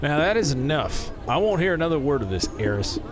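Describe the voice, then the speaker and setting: A man's voice: a little high, big pitch swings, a little fast. An actor playing a folksy, genial carnival owner in an audio drama, talking in a salesman's patter. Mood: firm, indignant. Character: folksy, smooth-talking carnival owner, a much-married man. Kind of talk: scripted audio drama acting. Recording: music behind the voice.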